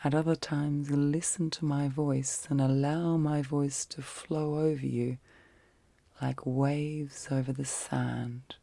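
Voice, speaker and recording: Slow, whispery woman guiding sleep meditation. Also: deep voice, steady tone, no echo. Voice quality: soft, breathy, often whispered. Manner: gentle, soothing, long pauses between short phrases. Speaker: guided meditation host. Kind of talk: ASMR guided sleep meditation.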